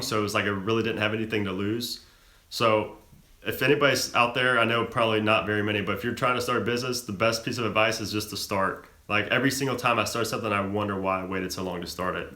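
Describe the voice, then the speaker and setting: A man's voice: lowish voice, pushed and bright, roomy sound. Young man casually answering live chat. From American South. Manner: reads viewer questions aloud then answers offhand.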